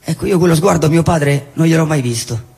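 A man acting a disillusioned, jobless character, blunt yet wistful, in halting stage dialogue with repeated phrases and a husky voice. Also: a little high, a little mellow. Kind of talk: theatrical stage dialogue and monologue. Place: theatre hall, live show. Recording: echoey hall, live stage recording.

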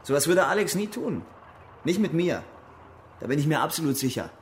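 An actor playing an earnest, defensive young army conscript in a radio crime drama, speaking hesitantly. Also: male voice, on the high side, sing-song, fairly quick, slight background noise. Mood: loyal, insistent. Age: young adult.

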